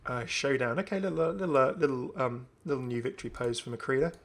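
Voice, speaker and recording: A man's voice: medium pitch, fast talker. A young man giving casual, enthusiastic commentary on a video game. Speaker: gaming video host.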